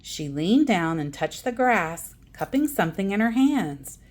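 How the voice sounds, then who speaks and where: A woman narrates a children's storybook with dramatic care. Her medium-pitched voice moves at a slow pace and rises and falls in a very animated way. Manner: dramatic, deliberate storytelling delivery. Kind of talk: expressive children's book narration.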